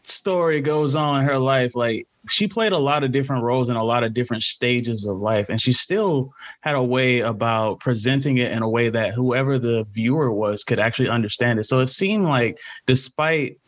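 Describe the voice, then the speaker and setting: Man, mid-pitched voice, podcast co-host, slangy, enthusiastic, casual. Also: moderate pace, hardly any pauses. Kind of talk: casual chat.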